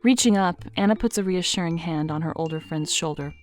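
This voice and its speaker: Low-voiced woman narrating a story. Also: soft background music. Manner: dry, measured storytelling. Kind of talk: scripted narration.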